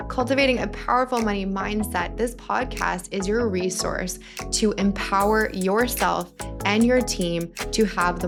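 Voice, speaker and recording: A woman hosts a podcast with eager, gushing warmth toward her guest. Her voice carries a gravelly, creaky edge at a middling pitch. Faint music sits underneath. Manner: gushing, enthusiastic. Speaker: podcast host. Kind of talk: podcast interview, scripted intro.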